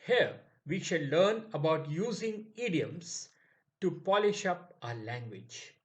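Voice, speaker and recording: A man narrating a scripted English idioms lesson in a slow, measured way. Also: fairly high pitch. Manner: slow, measured, lively intonation. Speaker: English teacher.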